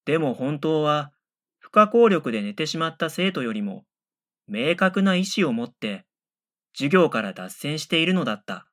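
A man playing an earnest, deadpan middle school boy in a radio drama, matter-of-fact and given to dry retorts. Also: fairly high pitch, normal speed. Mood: earnest narrating. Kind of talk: radio drama first-person narration and dialogue.

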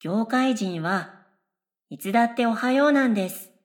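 A woman's voice: on the high side, expressive, moderate pace, lightly acted. A woman playing an airy, chatty middle school girl in a radio drama, speaking politely and with blithe confidence. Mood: blithely chatty. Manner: polite speech, blithely confident non sequiturs.

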